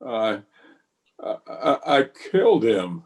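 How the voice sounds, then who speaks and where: A weary frontier lawman, played by a man in a radio western. The voice is on the low side, grave and plainspoken. He sounds burdened by conscience, with no bluster at all. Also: sing-song, slow pace, a little mellow, full character voice. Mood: shaken, somber. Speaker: actor and narrator in an amateur radio play. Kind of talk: old-time radio western acting. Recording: voice recorded over an internet call.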